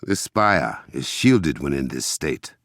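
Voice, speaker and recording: A man playing a noble, resolute warrior king in a video game, with an African accent, a deep gravelly voice and formal, measured diction. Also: big pitch swings, fairly bright tone, dry recording, studio quality, exaggerated voice. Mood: measured, confident.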